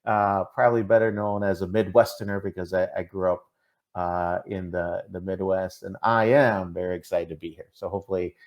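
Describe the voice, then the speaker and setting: Halting man with a Minnesotan accent. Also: sits low, flat delivery. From Minnesota, USA. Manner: hesitant, many fillers and restarts. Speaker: podcast guest. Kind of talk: casual interview talk.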